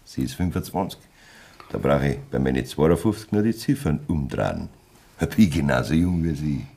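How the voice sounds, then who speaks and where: Very deep, husky Bavarian farmer. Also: male voice, very animated, moderate pace, warm tone, exaggerated voice. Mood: smug, gleeful. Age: middle-aged. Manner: boastful, coarse, earthy. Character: boastful, vindictive, lecherous Bavarian farmer of fifty-two. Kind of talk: dialect radio drama acting.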